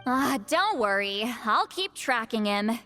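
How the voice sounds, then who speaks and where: A sassy, proud young female seer from a video game. Her high voice swoops expressively, brash and teasing. It carries a long reverb with music behind it. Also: sing-song, measured pace, pushed and bright, exaggerated voice. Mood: confident, explaining. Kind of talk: animated video game cutscene acting.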